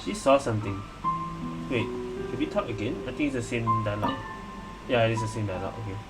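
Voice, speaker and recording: Casual gamer commentary over loud music. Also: medium pitch, quick pace, poor audio. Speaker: gaming video host. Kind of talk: casual let's-play commentary. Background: game music.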